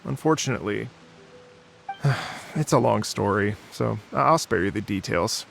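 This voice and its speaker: An actor playing a polite, mild-mannered travelling musician in a video game, speaking in a courteous, earnest way. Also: male voice, lowish voice, a little slow, vocal fry, faint music behind, heavily acted. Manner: courteous, earnest, expressive storytelling with sighs.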